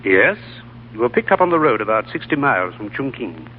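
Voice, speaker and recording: A man acting as a genteel writer who speaks crisply and properly. His pitch swings lively across lines, and the sound is thin and phone-like, as on an old radio broadcast. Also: a little fast, a little hiss or hum, natural voice. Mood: explaining. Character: brisk English writer, genteel, solicitous. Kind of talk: 1930s radio drama acting.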